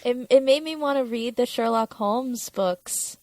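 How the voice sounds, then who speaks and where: A woman's voice: on the high side, unhurried, darkish tone, dry recording. A woman co-hosting a podcast, talking in an informal, conversational style. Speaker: podcast co-host. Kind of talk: casual chat.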